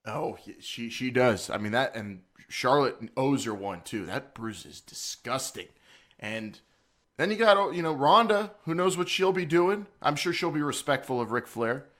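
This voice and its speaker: A man's voice: mid-range voice, lively intonation, moderate pace, a bit bright. Joking, rambling male podcast host. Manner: playful, joking, rambling with restarts. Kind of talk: casual wrestling talk.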